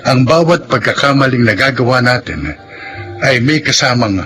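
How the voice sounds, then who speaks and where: Casting: Filipino male radio drama host, scripted delivery, lively intonation, prominent music bed. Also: mid-range voice, normal speed. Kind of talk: scripted radio narration, moral and credits.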